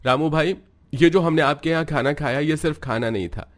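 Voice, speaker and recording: Man playing a proud army major, higher-pitched voice. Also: fairly quick, natural voice. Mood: proud, friendly. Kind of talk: Hindi moral story cartoon dub.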